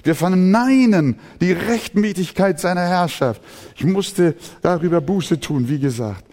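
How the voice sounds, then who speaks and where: A man's voice: sits high, lively intonation, a little slow, darkish tone. A man preaching a sermon as pastor, impassioned, sometimes mimicking grumbling churchgoers. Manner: impassioned preaching, acts out complaining voices. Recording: long hall reverb.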